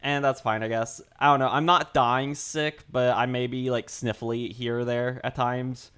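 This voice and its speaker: A young man streaming while he draws, chatting casually with his viewers, his throat dry and sore and his voice a little deeper than usual. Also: level tone, normal speed, a bit bright, smooth. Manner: rambling, chatty, greets chat, repeats himself. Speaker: art livestreamer. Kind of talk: casual livestream chat.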